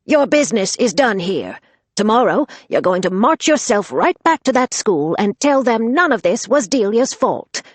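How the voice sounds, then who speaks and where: A fierce grandmother with a commanding, scolding bark, pitched well above the reader's own voice and delivered loudly, as a woman narrator puts her on. Her voice is husky and sits in a dry, close space. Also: big pitch swings, normal speed, no echo. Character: fierce, sharp-tongued grandmother, older woman.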